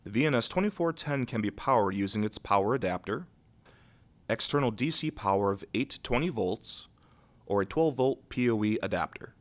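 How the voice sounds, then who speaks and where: A man reads scripted instructional narration in a measured, neutral, methodical way, going step by step. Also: medium pitch, lively intonation.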